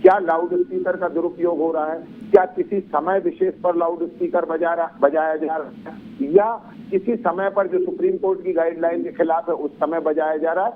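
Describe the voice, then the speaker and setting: A man's voice: on the high side, fairly even intonation, normal speed. A male political spokesman arguing in a live TV debate, explaining and repeating phrases for emphasis. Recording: thin phone-like line, faint noise.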